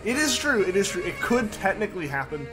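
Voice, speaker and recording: A young man with a slightly higher voice rambles casually to his live-stream chat, heavy with fillers and repeats. He has a slight lingering cough, and music plays clearly underneath him. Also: big pitch swings, quick pace. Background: music playing underneath.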